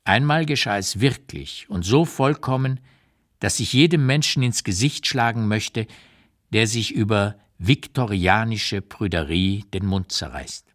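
An actor playing a blunt, opinionated old émigré writer who looks back in a deliberate, emphatic literary monologue for a radio play. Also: male voice, on the low side, a bit bright. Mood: solemn remembering.

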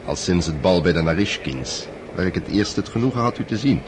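A man's voice: deep voice, animated, fairly quick, noisy background. An actor playing a suave young nobleman. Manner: suave, smooth-talking. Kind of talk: radio play acting.

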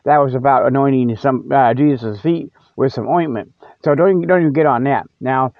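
A man's voice: medium pitch, moderate pace, dry recording. A man hosting a comic report in a casual, rambling, folksy monologue, often repeating himself. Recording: dull, dry lo-fi audio.

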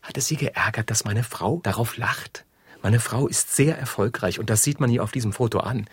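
A man's voice: medium pitch, moderate pace, breathy edge, no echo, clean studio sound. An actor playing a stiff, proud human-resources manager in a radio drama, formal and accusatory in his delivery. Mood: indignant. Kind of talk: modern radio drama acting.

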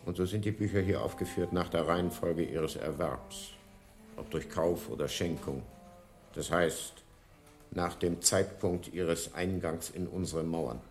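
An actor playing a gaunt, stern, aloof monastery librarian in a radio play, speaking in a solemn, measured, formal way. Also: male voice, deep voice, soft background music. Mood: solemn, guarded. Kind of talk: German radio play acting. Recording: faint noise, 1980s radio play.

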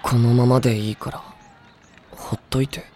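A man's voice: mid-range voice, level tone, normal speed, a little mellow, close to an everyday voice. An actor playing a withdrawn, reluctant teenage boy who mumbles sullenly in a Japanese anime audio drama. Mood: sulky.

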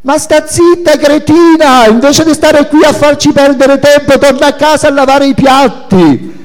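A man doing a shrill, defiant feminist firebrand. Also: unhurried. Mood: scornful, yelling. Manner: shouting, theatrical, imperious. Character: loud, defiant, campy feminist activist haranguing a crowd. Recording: reverberant hall sound.

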